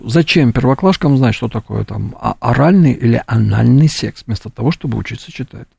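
A man with a very gravelly, dark voice gives opinionated political commentary as an interview guest. He speaks haltingly and keeps checking that the listener follows. The sound is dry, as in a studio. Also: medium pitch, lively intonation, no echo. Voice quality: gravelly, husky. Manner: halting, peppers speech with appeals to the listener. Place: radio studio.

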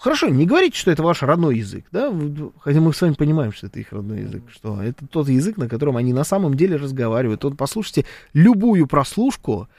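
A man's voice: a little high, quick pace, hardly any pauses, dry recording, clean studio sound. A man giving casual political commentary, reading out viewer comments with sarcasm and frequent hesitations. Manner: animated, sarcastic, talks with hesitations.

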